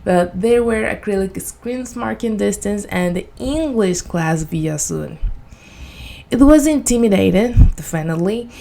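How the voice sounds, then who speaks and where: A woman from Venezuela with a Spanish accent gives a prepared motivational presentation in earnest, deliberate non-native English. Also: mid-range voice, expressive, creaky, warm tone. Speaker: student giving a personal speech.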